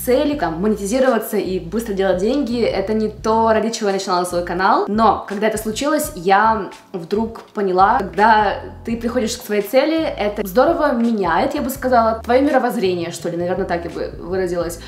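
A woman in her twenties from Russia talks casually to her viewers as a beauty vlogger. Her voice is medium in pitch for a woman. The sound is noticeably roomy, recorded indoors at home. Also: lively intonation, brisk, few pauses. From Russia, Far East. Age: 20s. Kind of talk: casual chat to camera.

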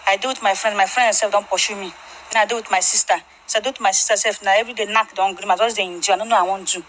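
A man's voice: high-pitched, fairly even intonation, very fast, fairly bright tone, a little hiss or hum, voice pushed up, low-quality recording. A man voicing a defiant pregnant young wife in a comedy skit, speaking Nigerian Pidgin in an insistent, dismissive way. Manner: insistent, dismissive, repeating herself.

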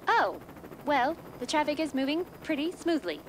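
A woman voicing an excitable, chirpy puppet traffic reporter in a comic radio skit. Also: sits high, normal speed, poor audio. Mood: breezy reporting.

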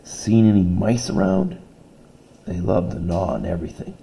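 A tiny, earnest female helper from a storybook, reassuring and sincere, as a man reading aloud puts her on in a dark, gravelly register. Her lines come out a little louder than the narration. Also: sits low, big pitch swings, moderate pace, heavily acted.

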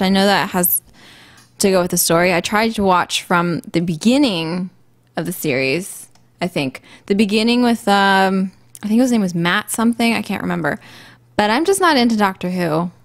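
A woman, casually chatting and reading viewer questions as a livestream co-host on a desk microphone. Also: mid-range voice. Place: home office room, indoors. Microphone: desk microphone on boom arm.

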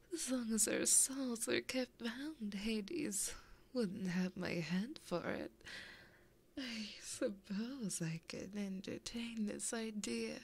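A woman voicing a flirty, possessive, taunting female demon in an ASMR roleplay, breathy and often whispering in a teasing, intimate way, close to a binaural mic. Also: a little high, a little mellow, heavily acted. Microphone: close binaural microphone.

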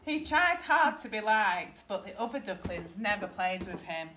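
A woman in her thirties reading a picture book aloud as a teacher, in an expressive storytelling style. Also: mid-range voice, moderate pace, background noise. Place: primary school classroom, indoors. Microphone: camera a short distance away.

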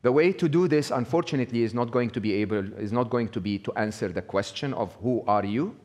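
A man's voice: mid-range voice, quick pace, darkish tone. A middle-aged man giving a live talk, conversational, checking in with the audience with a frequent "okay?". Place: small indoor venue with brick wall.

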